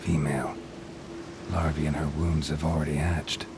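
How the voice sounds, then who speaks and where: A very deep-voiced, gruff monster hunter from a video game, terse and world-weary. He barely varies his tone, murmuring deadpan in clipped sentences. Steady rain noise sits behind the gravelly voice. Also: moderate pace. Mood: grim, observing.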